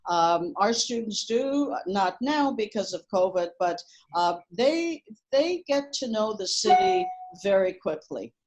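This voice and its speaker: A woman's voice: medium pitch, lively intonation, slow, a little mellow, soft background music, poor audio. A woman who grew up in Portugal, a school principal answering interview questions earnestly, with many ums and uhs.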